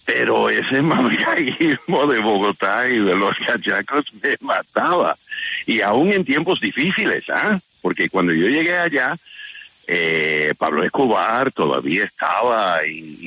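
An older man from New York, a former diplomat, speaking Spanish with an American accent as a native English speaker, chatty and expressive with many fillers, answering radio interview questions. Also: fairly low pitch, slightly rough, no echo, poor audio. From New York, USA.

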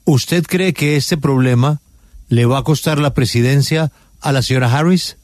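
A man's voice: medium pitch, normal speed, a little mellow, no room sound, studio quality. A man interviewing an ambassador, asking polite, direct questions. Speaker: interviewer, host.

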